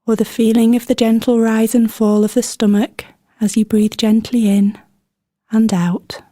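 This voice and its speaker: A woman guides a meditation with a relaxed, warm and mellow voice. She speaks gently and soothingly, leaving unhurried pauses between her instructions. The sound is very dry, with no sense of the room around her. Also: a little high, dry recording. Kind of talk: guided body scan meditation, scripted instruction.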